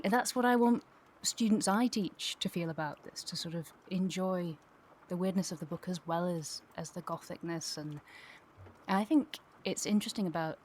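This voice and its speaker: A woman's voice: on the low side, darkish tone, slight background noise. A woman talking enthusiastically and a little digressively in a conversational panel interview. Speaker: voice actor and tutor.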